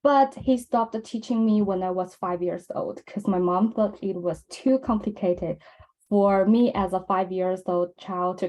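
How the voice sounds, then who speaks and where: Young chinese-accented woman presenting research, mellow voice, lots of ums. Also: on the low side, low-quality recording. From mainland China. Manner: careful, measured, with many ums and uhs. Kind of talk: academic conference presentation.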